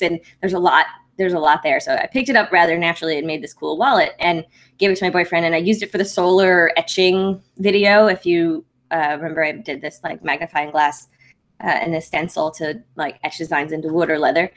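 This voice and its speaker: Soft-spoken woman chatting casually on a livestream. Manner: rambling, full of ums and likes; speaks softly and higher-pitched. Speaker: maker livestream host.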